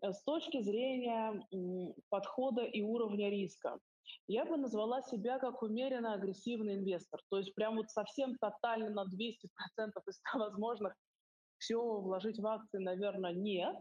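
A middle-aged woman, a financial advisor, walking through her investing approach point by point in a live voice chat, often pausing on "uh" and "mm". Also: mid-range voice, fairly even intonation, warm tone. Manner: methodical, structured into numbered points with frequent "э" and "м" fillers. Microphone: voice chat over phone or computer. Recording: dull lo-fi voice chat audio.

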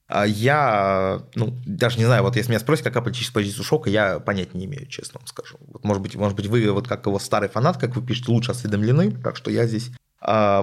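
Low-voiced man, rambling music critic. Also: vocal fry. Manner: rambling, frequent hesitation sounds. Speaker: music critic answering listener questions. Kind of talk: casual podcast Q&A.

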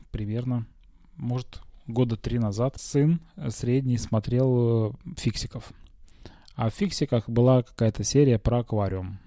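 A man hosting a personal podcast from a quiet bedroom, rambling casually and hesitantly through everyday stories, a little congested from a cold. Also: medium pitch, dry recording, studio quality. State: recovering from a cold, slight cough. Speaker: podcast host. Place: small quiet bedroom, lying on a bed.

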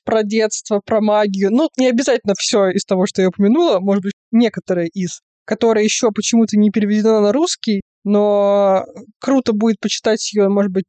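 A woman's voice: medium pitch, mellow, clear, resonant tone, no room sound. A woman hosting a podcast, friendly, asking long rambling questions. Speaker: podcast host. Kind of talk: casual interview chat.

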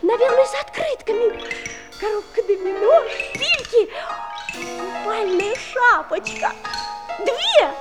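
An actress playing wide-eyed young children in a 1960s audio play, exclaiming and wondering. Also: female voice, very high voice, lively intonation, slow pace, music in the background, full character voice. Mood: amazed, excited.

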